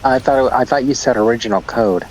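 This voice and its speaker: A man's voice: mid-range voice, fast talker, darkish tone, a little hiss or hum, rough recording. Hesitant, laughing man co-host. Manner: hesitant, restarts, laughs often. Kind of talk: casual chat.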